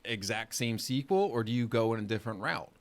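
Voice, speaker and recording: Opinionated man with a mid-pitched voice. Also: lively intonation, a little fast. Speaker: podcast panelist. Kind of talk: casual opinionated debate.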